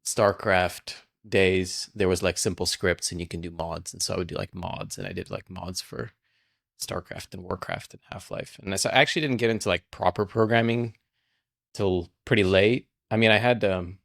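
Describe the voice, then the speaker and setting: A man's voice: low-pitched, steady tone, normal speed, vocal fry, a bit bright. A man in his forties from Russia, a game programmer chatting casually as a podcast guest and rambling with a constant stream of "like". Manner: rambling, fills speech with frequent "like". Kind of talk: casual interview chat.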